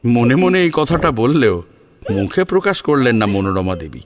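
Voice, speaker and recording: Casting: male storyteller, medium pitch, animated delivery, scripted serial narration over music. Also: normal speed, a crowd in the background. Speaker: narrator and voice actor.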